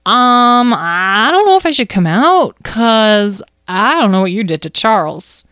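A woman's voice: mid-range voice, big pitch swings, unhurried, fairly bright tone, clear, resonant tone, dry recording, clean studio sound, voice pushed up. A woman voicing a tiny, timid, worried mouse in a children's story, plaintive, hesitant and folksy.

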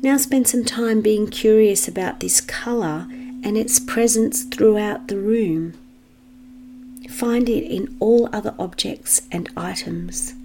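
A woman leading a guided meditation for children in a gentle, measured manner with long pauses. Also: mid-range voice, gravelly, background noise.

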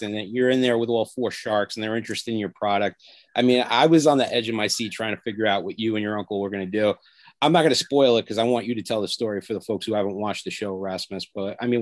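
A man's voice: lowish voice, fast, no room sound. A man hosting a podcast interview, enthusiastic and full of praise for his guest. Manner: enthusiastic, complimentary. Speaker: podcast host.

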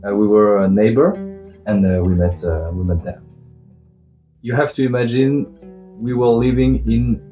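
French guy, mellow lowish voice, musician telling a story in an interview, hesitant second-language english. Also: moderate pace, long pauses, lo-fi sound, faint music behind. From south of France, near Marseille. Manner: hesitant, piecemeal non-native English. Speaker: musician interviewee, jazz violinist.

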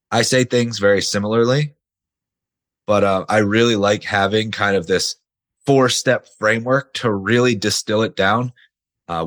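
A man, hoarse and nearly losing his voice, hosting a casual solo podcast and stressing his points with the odd swear. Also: on the low side, a bit bright. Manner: casual, emphatic, swears now and then. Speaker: podcast host.

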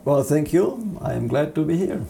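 Man, Czech accent, very dark mellow tone, creaky voice, halting earnest delivery, some background noise. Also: fairly high pitch, very animated. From Czech Republic. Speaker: seminary dean, interview guest. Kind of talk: interview answers on theology.

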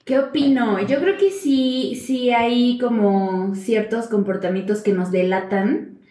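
Playful woman, bright higher voice. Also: takes it slowly. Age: 20s. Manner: warm, playful, with many fillers. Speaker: podcast guest. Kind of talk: casual podcast chat. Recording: roomy echo.

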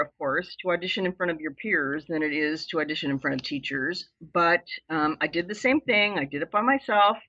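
An older woman in her seventies, from Southern California, tells her story with a loud and exuberant delivery. Her voice sits a little low but rings bright and forceful. The audio sounds somewhat dull and lo-fi. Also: no room sound. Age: 70s. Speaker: podcast guest. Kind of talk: telling a personal story in an interview.

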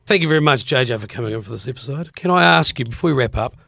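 A man's voice: mid-range voice, expressive, rapid-fire, vocal fry, pushed and bright, dry recording, clean studio sound. A young adult man with a strong New Zealand accent, hosting a casual podcast in a laid-back, mumbly way, laughing often. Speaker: podcast host.

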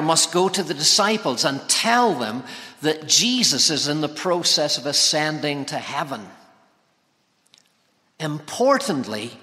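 A man's voice: fairly high pitch, pushed and bright. Man preaching in a long-echoing hall. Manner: measured, deliberate phrasing with pauses. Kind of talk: sermon. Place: large church interior, preaching to congregation. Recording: long reverberant church hall echo.

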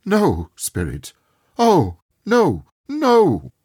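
A man's voice: fairly low pitch, expressive, unhurried, darkish tone, no echo, studio quality, voice pushed up. A man voicing a terrified old miser who pleads with a spirit in rising, anguished cries. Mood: anguished pleading.

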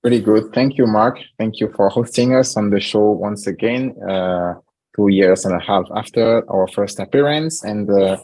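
A startup founder talking through his company in a podcast interview, pausing often with fillers. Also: lowish voice, a little mellow, low-quality recording. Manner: measured, frequent "uh" fillers.

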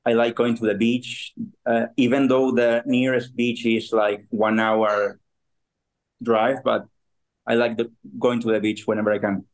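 A man in his thirties from Ecuador, a guest on a podcast who speaks with frequent pauses and restarts. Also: mid-range voice, level tone, low-quality recording. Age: 30s. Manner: halting, with fillers and restarts. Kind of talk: casual interview.

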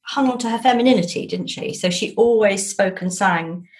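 Enthusiastic Englishwoman, mid-pitched voice, jolly and chatty author guest in relaxed conversation. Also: normal speed. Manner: chatty and enthusiastic, piling on emphatic words.

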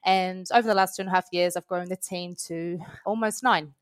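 A woman's voice: fairly low pitch, fairly even intonation, brisk, clear, resonant tone, no echo, clean studio sound. A woman in her late twenties with a New Zealand accent, a guest answering interview questions in a chatty, friendly way. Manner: chatty, friendly, with many "you know" fillers. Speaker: guest, head of customer success.

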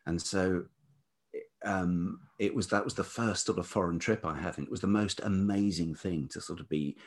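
Deep husky man telling stories. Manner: halting, many ums and restarts. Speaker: podcast guest, writer and producer. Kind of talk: relaxed storytelling interview.

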